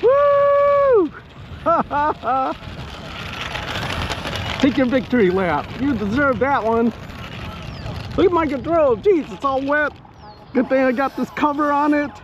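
A man giving casual, excitable live commentary outdoors to viewers as he pilots a model plane. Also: very high voice, slow, long pauses. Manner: excitable, whooping, talking to viewers while piloting. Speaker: hobbyist RC pilot and vlogger. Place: outdoors at a flying spot in wind and rain. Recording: muffled outdoor audio with background noise.

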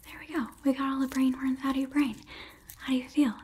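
A woman performing an ASMR roleplay in a soft, gentle whisper, often repeating her words. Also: high-pitched, flat delivery, quick pace, breathy edge.

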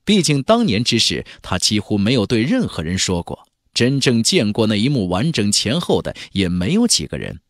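A man's voice: medium pitch, a bit bright, dry recording, clean studio sound. A man narrating a fantasy novel as a dramatic, scripted audiobook.

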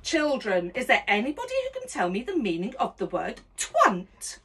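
A woman's voice: fairly high pitch, wildly swooping pitch, moderate pace, a bit bright, husky. A woman in her fifties doing a cheeky mock-schoolteacher comedy act, singing and laughing as she goes, filmed in a small room indoors. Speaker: comedy host. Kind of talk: rude comic song and story parody.

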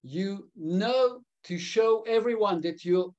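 High-voiced man with South African-Israeli accent. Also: takes it slowly, darkish tone, dull audio. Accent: South African and Israeli English. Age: 50s. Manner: earnest, with frequent restarts and fillers. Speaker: webinar speaker. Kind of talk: live webinar presentation. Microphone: video call.

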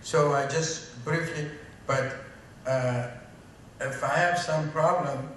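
A man's voice: fairly high pitch, fairly even intonation, slow, a bit bright, slight background noise. Man, Ethiopian accent, middle-aged, hesitant with many fillers, stand mic on stage, echoey hall, distant recording. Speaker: interim business school dean. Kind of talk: speech at a tribute event.

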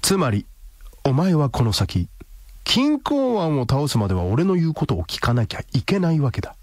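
An actor playing a ruthless, sardonic man in a radio drama, deadpan and commanding. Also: on the high side, moderate pace, no room sound, studio quality. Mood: coolly threatening. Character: cool, ruthless, sardonic man blackmailing a villain.

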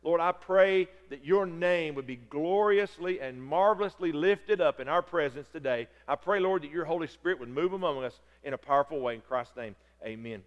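Loud pastor preaching in a hall. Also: male voice, fairly high pitch, normal speed, few pauses, highs cut off. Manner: loud, excitable preaching with repeated stammers. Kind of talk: sermon. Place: large church sanctuary. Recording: long reverb of a church hall.

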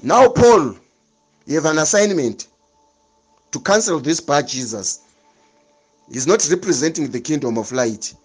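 A man's voice: a little high, lively intonation, a little slow, long pauses, husky, soft background music, low-quality recording. A man preaching a sermon, emphatic and repetitive, with dramatic swings in delivery.

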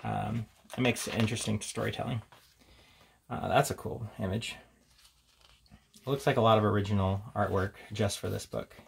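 Casual man with a creaky voice. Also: on the low side, fairly even intonation, normal speed, long pauses, a little hiss or hum. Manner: hesitant, informal, thinking aloud. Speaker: host of a tabletop gaming channel. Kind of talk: casual unscripted product walkthrough.

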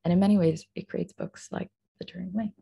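A woman's voice: on the low side, fairly even intonation, fairly quick, creaky, dark tone, breathy edge, no echo. A young woman giving an online conference keynote as a community manager, talking reflectively with many fillers and restarts. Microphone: computer mic on video call.

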